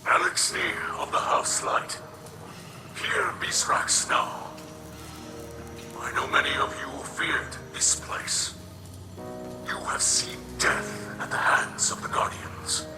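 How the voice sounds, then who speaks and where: An actor playing a solemn, weary but hopeful alien leader in a video game, with a breathy, rasping whisper and formal, halting phrases. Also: male voice, mid-range voice, wildly swooping pitch, music in the background. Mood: solemn, rallying. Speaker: leader and mentor. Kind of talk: video game cutscene acting.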